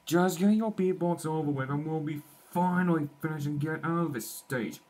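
A man's voice: a little high, normal speed, darkish tone, close to an everyday voice. A man voicing a jaded, sarcastic young rival in fan game voice lines, exasperated and dismissive, full of slang. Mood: mocking, irritated.

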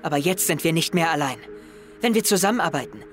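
An actor playing an earnest, resolute teenage schoolboy in an audio drama about hunting a hacker. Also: male voice, on the high side, animated, quick pace, faint music behind, lightly acted.

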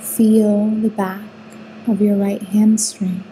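A woman guiding a sleep meditation with soothing, unhurried instructions and long pauses between phrases. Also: medium pitch, little pitch movement, warm tone, a little hiss or hum, poor audio. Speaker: meditation guide.

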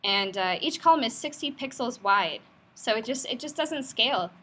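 A woman's voice: on the high side, animated, moderate pace, fairly bright tone, slight background noise. A woman presenting at a meetup in an office, talking in a friendly, upbeat, casual way with frequent fillers and asking the audience questions. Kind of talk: conversational tech talk. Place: company office meetup room.

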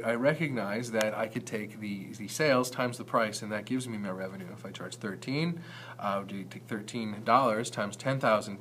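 A man's voice: medium pitch, a bit bright, a little hiss or hum. A man giving an informal, explanatory math lesson as a teacher, talking conversationally with some hesitant self-corrections.

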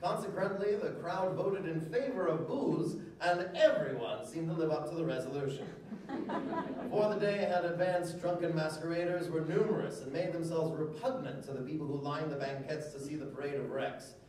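A man with a fairly high voice delivers old-fashioned newspaper prose to a live room. He declaims it with mock formality. The sound is very reverberant and comes from well away from the microphone. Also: normal speed, a crowd in the background. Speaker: reader at a public event. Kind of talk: dramatic reading of old newspaper articles aloud to an audience. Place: hard-walled room with small stage. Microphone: stand mic, recorded by far camera. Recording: very echoey room from far off.

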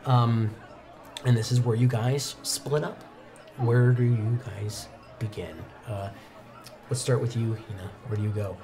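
A man in his thirties narrating a tabletop roleplaying game as game master, improvising descriptions haltingly. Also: medium pitch, steady tone, music in the background, a crowd in the background. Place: indoor studio set, behind a game master screen.

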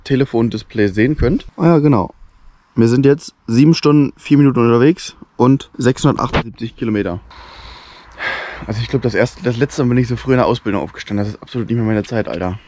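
A young man from Germany vlogging casually to camera, talking colloquially and haltingly as he searches for words. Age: young adult. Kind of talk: casual vlog talk to camera. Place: outdoors at night and inside a van. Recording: muffled, loud vehicle and outdoor rumble.

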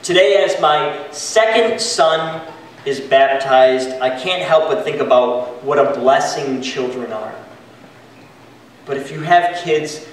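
A man in his thirties preaches slowly and deliberately from a pulpit, pausing between phrases as he reads from his notes. His voice sits a little high and lands in a very reverberant church sanctuary, picked up from some distance away. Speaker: pastor. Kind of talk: sermon.

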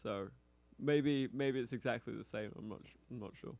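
A male radio host with a foreign accent, interviewing a musician in a relaxed, hesitant way. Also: medium pitch, normal speed, vocal fry. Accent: foreign, non-local. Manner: relaxed, hesitant, many fillers. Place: small radio studio. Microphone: studio mic on a stand.

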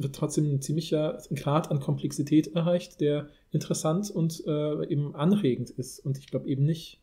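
Man with a very mellow, higher-pitched voice. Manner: thinking aloud in long, winding sentences. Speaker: podcast host. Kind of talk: casual analytical podcast discussion.

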